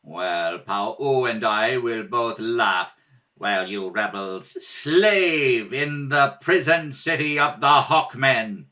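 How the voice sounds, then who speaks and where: A man's voice: medium pitch, animated, slow pace, pushed and bright, voice pushed down. A man playing a cruel, imperious space emperor in the manner of an old radio serial, menacing and commanding. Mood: sneering menace. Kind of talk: 1930s radio serial acting.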